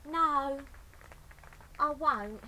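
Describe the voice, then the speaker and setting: A woman voicing an excitable working-class lady burglar and loving mum in a storybook, with colloquial, ungrammatical, exclaiming speech. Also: sits high, drawn-out words, a little hiss or hum, voice pushed up, heavily acted, rough recording. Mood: rueful.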